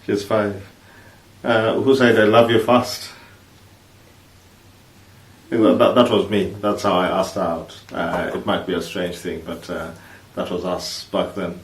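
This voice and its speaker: A man, co-hosting a vlog in halting, casual chat. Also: sits low, level tone. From East Africa, born in Kenya, Ugandan. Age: 30s. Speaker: vlog host. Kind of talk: casual couple chat to camera. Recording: roomy, distant mic, faint noise.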